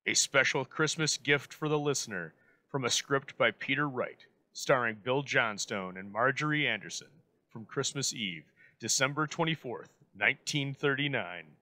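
A man delivers a formal scripted introduction as host. His voice is medium in pitch, with a bright, crisp-edged tone. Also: expressive, moderate pace.

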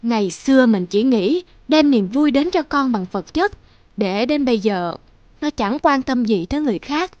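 A woman voicing a gentle, regretful elderly father in an earnest, admonishing way for an animated moral drama. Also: on the high side, moderate pace, highs cut off, close to an everyday voice.

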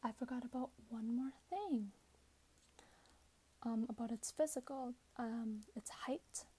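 A woman in her twenties speaking in a hushed, breathy whisper, gently role-playing a customer service clerk for ASMR. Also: a little high, slow pace. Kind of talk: soft-spoken ASMR role-play. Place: small plain indoor room.

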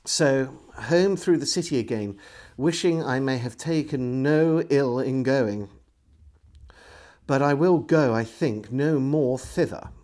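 A middle-aged man reading a historical diary aloud as a storyteller, in a deliberate, theatrical way. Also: medium pitch, a little mellow. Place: indoors, furnished room with bookshelves.

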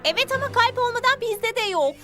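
Dramatic animated teen girl: very high lively voice, emphatic lines, music behind. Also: brisk. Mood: alarmed. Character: teenage girl, dramatic and intense. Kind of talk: dubbed cartoon acting.

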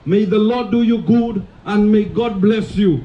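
A man's voice: sits high, unhurried, muffled recording. Kenyan man preaching on a beach street. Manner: declaiming, repetitive, urgent. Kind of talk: open-air sermon mixing Swahili and English. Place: outdoors on a sandy beach street. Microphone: camera at a distance.

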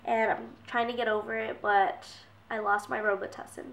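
A woman's voice: fairly high pitch, little pitch movement, moderate pace, creaky, a bit bright, far from the mic. Congested young woman chatting, roomy. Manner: chatty, rambling with many fillers. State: congested from a cold. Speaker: beauty vlogger. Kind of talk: casual talk to camera. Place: small bedroom indoors. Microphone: camera mic at a distance. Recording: roomy, from off the mic.

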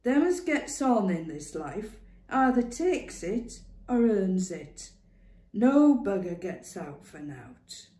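Woman doing a worldly old matron: blunt, earthy, knowing, lively, heard in a roomy echo. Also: mid-range voice, slow. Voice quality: earthy dialect, hearty and knowing.

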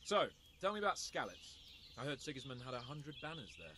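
A male actor as a young medieval nobleman from a video game, imperious and flippant. His fairly high voice swoops dramatically up and down as he condescends. Birdsong and clear outdoor noise sit behind him. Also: very animated, fairly quick, natural voice. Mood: curious. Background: birdsong and outdoor ambience.

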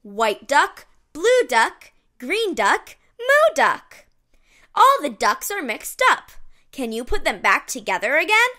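A woman reads a children's read-along book in a high, very lively voice. She takes her time over short, simple phrases. Her delivery is encouraging and exclamatory, made for young listeners. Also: slow, slight background noise. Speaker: storybook narrator.

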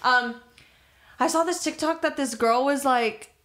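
A woman's voice: sits high, a little slow, fairly bright tone. A woman in her twenties co-hosting a podcast in casual chat, slangy and teasing with frequent swearing, on a podcast mic in a small room. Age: 20s. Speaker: podcast co-host. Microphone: podcast microphone on boom arm.

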